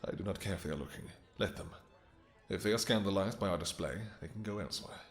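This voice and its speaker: A man's voice: deep voice, quick pace, faint music behind, close to an everyday voice. A man voicing a doting, possessive, brooding vampire king who speaks in formal, archaic, theatrical phrases in a romantic fantasy audio roleplay. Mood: amorous, low.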